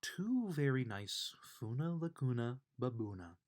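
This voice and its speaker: A man reading a children's bedtime story aloud in an expressive, unhurried way. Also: medium pitch. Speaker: storybook reader.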